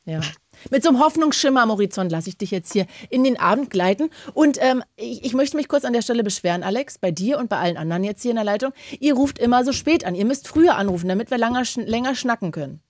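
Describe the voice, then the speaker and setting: Fast-talking woman radio host. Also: a little high, animated, no echo, clean studio sound. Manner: chatty, warm-hearted advice, fast talking. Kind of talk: casual radio phone-in chat.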